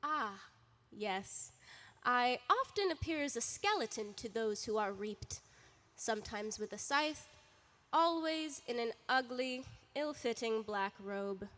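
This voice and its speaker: A woman playing Death in a radio drama as a formal, deadpan and theatrical reaper with dry wit. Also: a little high, slow. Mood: wry, mildly offended.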